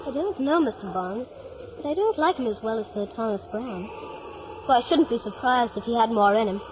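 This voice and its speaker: Woman as an eager, high-voiced boy, old radio drama. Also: expressive, brisk, noisy background, close to an everyday voice. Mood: innocent, chatty. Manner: earnest, eager, politely insistent. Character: earnest, eager, polite young boy, full of wonder. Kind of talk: 1950s radio drama acting. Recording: old radio broadcast, narrow band, music behind.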